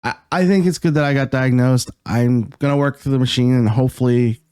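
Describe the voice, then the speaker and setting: A man from Canada, co-hosting a podcast in a halting casual chat, often laughing. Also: mid-range voice, a little mellow, clean tone, no echo, studio quality. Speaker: podcast co-host.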